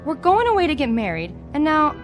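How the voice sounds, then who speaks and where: An actress playing an anxious, distrustful young bride-to-be in an audio drama. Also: female voice, high voice, sing-song, a little fast, faint music behind. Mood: frightened, upset.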